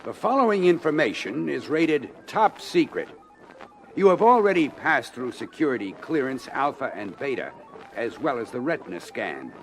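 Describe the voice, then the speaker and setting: An actor playing a stern, authoritative planetary defense minister in an audio adventure, speaking in formal, measured, emphatic oratory. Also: male voice, medium pitch, very animated, slight background noise, low-quality recording. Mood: stern, grave. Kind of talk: audio adventure record acting, military briefing speech.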